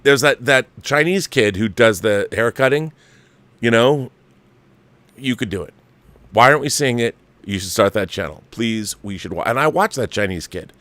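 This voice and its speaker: A man's voice: mid-range voice, fairly bright tone, no room sound. An older man hosting a movie talk show, reading viewer mail aloud and riffing on it in an opinionated, emphatic, conversational way.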